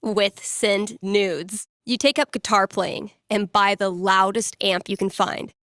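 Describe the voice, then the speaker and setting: A woman's voice: mid-range voice, steady tone, takes it slowly, a bit bright, dry recording, studio quality. A woman playing a wry young radio DJ and playful fortune-teller in a video game, speaking in a casual, teasing storyteller's way. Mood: wry storytelling. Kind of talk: video game voice acting, conversational second-person storytelling.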